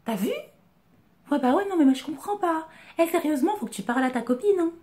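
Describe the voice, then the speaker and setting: Woman putting on a high-pitched skeptical gal gossiping on her phone, mellow tone, chatty asides. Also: animated. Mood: doubtful. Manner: chatty, doubtful, quick asides. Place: small room at home, couch. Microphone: phone held to ear, filmed by webcam.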